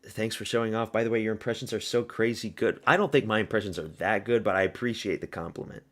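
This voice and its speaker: A man's voice: fairly low pitch, fairly even intonation, fast. A man from the American South hosting a fight watch party stream, talking loosely and profanely with his viewers. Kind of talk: casual live-stream commentary.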